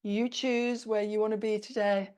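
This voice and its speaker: A woman teaching a slow-flow yoga class, calmly cueing each pose while doing it herself, her voice a little croaky. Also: medium pitch. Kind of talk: slow guided yoga instruction.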